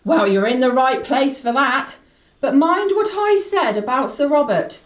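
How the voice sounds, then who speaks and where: A woman's voice: sits high, expressive, measured pace. An actress playing a chatty, deferential country inn landlady in a radio play, folksy and emphatic. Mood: obliging, chatty. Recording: roomy, echoing radio play recording.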